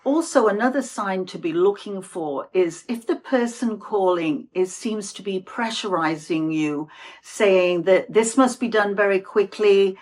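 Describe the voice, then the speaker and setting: A woman host giving an informational talk in a measured, deliberate, explanatory way. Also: mid-range voice, darkish tone.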